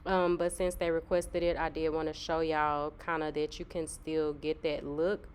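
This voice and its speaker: A woman's voice: low voice, moderate pace, a little hiss or hum. A young woman, a little nasal from a cold, chatting casually through a hair tutorial. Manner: relaxed, chatty, filler-heavy. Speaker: hair vlogger.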